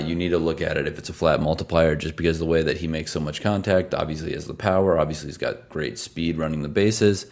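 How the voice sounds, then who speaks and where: Hoarse, very deep-voiced tired man. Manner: conversational, rattles off stats. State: hoarse, losing his voice; tired from travel. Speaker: sports picks host. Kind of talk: casual sports betting analysis.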